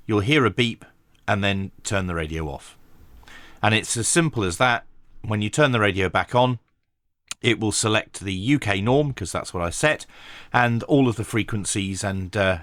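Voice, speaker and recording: A man's voice: fairly low pitch, fairly bright tone, no room sound. A man giving a casual, explanatory product review in a conversational, understated way. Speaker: radio equipment reviewer.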